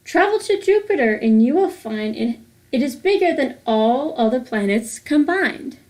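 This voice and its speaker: High-voiced woman reading aloud to children. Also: expressive, moderate pace, a little mellow. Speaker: host of a children's read-aloud series. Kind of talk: children's picture book read-aloud.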